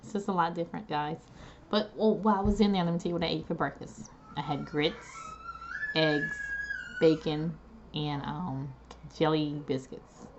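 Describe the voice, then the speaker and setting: Woman vlogger, homey and chatty, recovering voice; dull lo-fi home recording with steady noise. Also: deep voice, normal speed. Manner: chatty, homey, addresses viewers as guys. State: recovering voice after neck surgery. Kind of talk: casual talk to camera.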